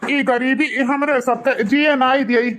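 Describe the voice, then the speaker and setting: A man's voice: very high-pitched, fairly even intonation, measured pace, fairly bright tone. A man voicing a worried, poor old village father with an Awadhi accent, in an artificially raised cartoon voice. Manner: fretful, plaintive.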